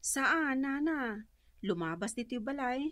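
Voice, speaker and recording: A woman playing a gentle, long-suffering young wife in an Ilocano radio drama, with a husky voice. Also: high-pitched, expressive, measured pace, no echo. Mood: plaintive.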